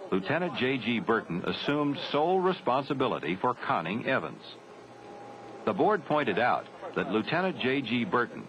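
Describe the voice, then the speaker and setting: An American man narrating a 1970s military training film from a script, formal, grave and measured. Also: mid-range voice. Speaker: documentary narrator. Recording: dull 1970s film soundtrack, faint noise.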